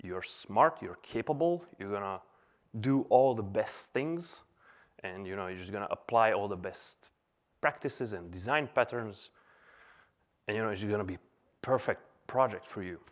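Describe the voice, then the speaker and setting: A man's voice: lowish voice, animated, moderate pace. A man in his thirties from Serbia giving a casual, chatty conference talk with many filler words, on a stage mic. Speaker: conference speaker. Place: conference hall.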